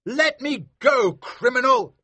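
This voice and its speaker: A man's voice: sing-song, measured pace, sharp tone, husky, no room sound, heavily acted. A man reading a story aloud, voicing a pompous, cowardly magistrate who shrieks and pleads in a shaking voice. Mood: arrogant. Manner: shrill, pleading, shaking with fear. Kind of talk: audiobook character voice.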